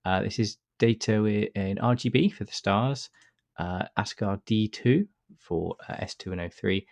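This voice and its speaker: Deep gravelly man with a stuffy cold. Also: measured pace, no room sound. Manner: relaxed step-by-step explaining with many uh fillers. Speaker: astrophotography tutor. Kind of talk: screen-recorded software tutorial.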